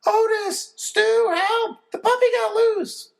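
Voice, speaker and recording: A man reading a children's story aloud, voicing a fussy, exasperated mother in a high put-on voice. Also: sing-song, normal speed, fairly bright tone, full character voice. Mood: panicked. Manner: high, scolding, sing-song. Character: fussy exasperated mother, middle-aged woman.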